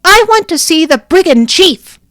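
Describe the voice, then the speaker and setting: Bossy stamping little girl, shrill and loud, woman reading it out. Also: very animated, normal speed, dry recording, studio quality, full character voice. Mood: demanding. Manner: shrill, demanding.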